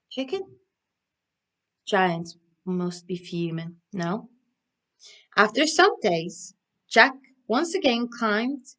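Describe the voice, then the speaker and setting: Female children's storyteller: unhurried, encouraging, animated, interactive, mid-pitched, clear instructions for little listeners. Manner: animated, encouraging, addressing young children with questions. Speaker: children's storyteller and craft presenter.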